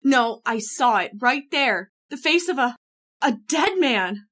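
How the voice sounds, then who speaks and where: Female actor as nervous, distrustful friend, high voice, urgent delivery for a radio chiller. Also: expressive, slow, a bit bright, full character voice. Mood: frightened. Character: anxious, suspicious female friend in a horror thriller. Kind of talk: old-time radio horror acting.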